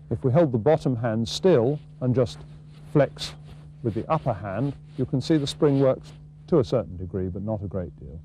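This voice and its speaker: A man's voice: mid-range voice, dark tone. A middle-aged man, giving a methodical, explanatory fly-casting demonstration outdoors. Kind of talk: instructional demonstration to camera. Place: outdoors on a riverbank. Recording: noisy outdoor video sound with hum.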